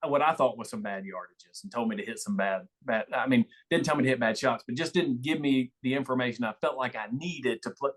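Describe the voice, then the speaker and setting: Male, medium pitch, conversational storyteller for a casual podcast guest spot. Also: a bit bright.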